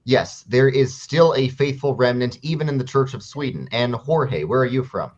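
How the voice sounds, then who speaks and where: A man's voice: mid-range voice, moderate pace, a little mellow. Upbeat man hosting with dull audio. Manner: upbeat, affirming. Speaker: YouTube host. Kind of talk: hosting an online interview.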